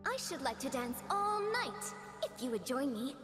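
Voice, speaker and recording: A woman's voice: high voice, big pitch swings, unhurried. A woman voicing a cheerful, wide-eyed living doll seamstress in a video game, speaking with prim, playful politeness and wonder. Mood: delighted, wondering. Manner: prim, wonder-filled, old-fashioned polite phrasing. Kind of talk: video game character voice lines. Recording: long reverb, loud music behind.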